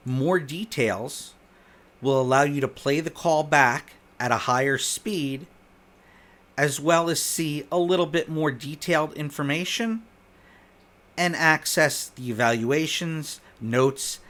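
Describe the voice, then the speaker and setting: Male presenter for a product demo: conversational, instructional, medium pitch, guides step by step. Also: a bit bright. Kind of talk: instructional product walkthrough narration.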